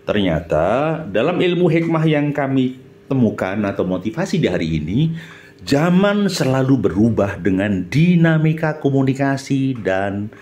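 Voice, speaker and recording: A man's voice: mid-range voice, a little mellow. A middle-aged man with a Javanese accent from Central Java, chatting through an informal reminiscing monologue and often turning to his companion with questions. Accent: Javanese Indonesian, Central Java. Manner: chatty, often checks in with listener using questions. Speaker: channel host, former radio announcer.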